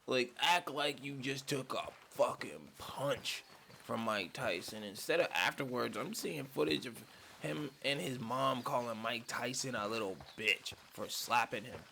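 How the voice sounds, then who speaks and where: A man who is sick with a raspy voice, hosting his channel and ranting in a casual, profane way from his man cave at home. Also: medium pitch, expressive, unhurried, sharp tone, slight background noise. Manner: profane, heated rant. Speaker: channel host.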